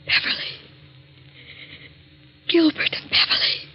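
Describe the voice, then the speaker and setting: A woman playing a fearful, suspicious wife in overwrought, halting 1940s radio melodrama style. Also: close to an everyday voice. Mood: hurt, suspicious. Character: tormented, fearful, suspicious wealthy young wife. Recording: muffled vintage 1940s radio recording.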